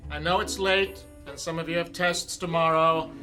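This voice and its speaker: An actor playing a brisk school drama director in a radio play, projecting instructions to his cast. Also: on the high side, fairly even intonation, pushed and bright. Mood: brisk, instructing. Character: brisk male school drama director running late rehearsal. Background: music.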